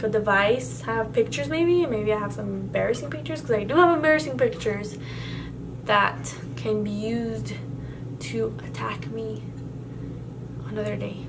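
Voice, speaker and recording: Young woman, native Spanish speaker, casual vlog chat, filler-heavy, noisy home recording. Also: fairly high pitch. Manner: rambling, filler-heavy, lots of "like" and restarts. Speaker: vlogger. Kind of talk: casual Q&A tag video.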